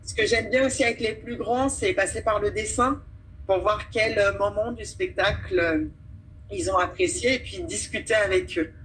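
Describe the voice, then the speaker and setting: A woman from France, an actress and children's storyteller, talking reflectively as a radio guest and pausing often to find her words. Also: medium pitch, a bit bright, slight background noise, rough recording. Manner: thoughtful, pauses often with hesitations. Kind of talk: radio interview, reflective talk.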